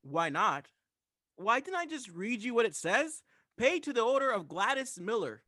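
A man's voice: extremely high pitch, sing-song, moderate pace, sharp tone, heavily acted. A man playing a fussy, exasperated, skeptical telegraph clerk in an old-time radio play.

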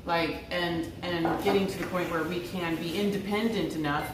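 A woman hosting a podcast, chatting eagerly and chiming in quickly. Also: fairly low pitch, fairly even intonation. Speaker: podcast host. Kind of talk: casual interview chat. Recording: distant, echoey, noisy livestream audio.

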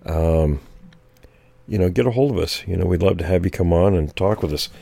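A man with a very deep, dark voice talks casually on a podcast, hoarse because he is losing his voice. He often stops to clear his throat and wanders through his points. The sound is close and dry. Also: fairly quick, dry recording. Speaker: podcast co-host. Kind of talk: casual chat.

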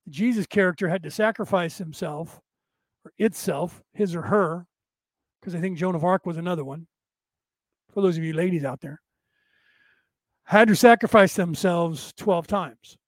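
A man hosting a long spiritual talk show, his voice hoarse and raspy, rambling and emphatic as he talks straight to his listeners. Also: sits high, normal speed, long pauses, no echo. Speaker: show host. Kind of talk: late-night spiritual talk show monologue.

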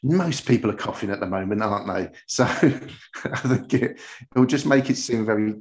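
A man's voice: on the low side, normal speed. A man hosting a podcast interview in a casual, jokey and rambling conversational way. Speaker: podcast host. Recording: roomy, echoey sound.

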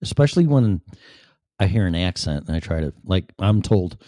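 A man's voice: deep voice, lively intonation, moderate pace, warm tone, no echo, studio quality. A man with a Chicago accent hosting his live-stream show in a chatty, profane, self-deprecating ramble. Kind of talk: casual rambling monologue.

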